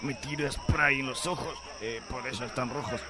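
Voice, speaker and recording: An actor playing a pompous, lecherous middle-aged police inspector in satirical radio theatre, with an Argentine accent and theatrical delivery. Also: male voice, mid-range voice, steady tone, normal speed, lightly acted. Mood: self-justifying. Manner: theatrical mock-heroic declaiming.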